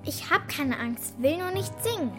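Bratty defiant little schoolgirl, really high voice, loud music behind, kids radio play. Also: animated, normal speed. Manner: defiant, sarcastic. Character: stubborn, fearless young schoolgirl who refuses to sing. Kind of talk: children's radio play acting.

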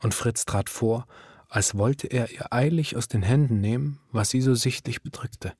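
An actor narrating a literary radio drama as a grave, measured male storyteller. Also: mid-range voice, a little mellow, husky, no echo, studio quality. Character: male storyteller recounting a woman's fear, grave.

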